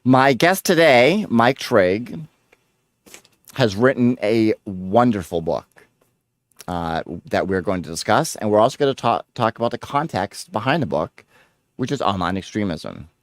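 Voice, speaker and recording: A man's voice: lowish voice, animated, unhurried, dry recording. A man hosting a talk show, delivering an emphatic scripted monologue, then chatting casually with a guest while stuffed up from a cold. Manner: emphatic, list-like delivery with swings in level. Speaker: podcast host.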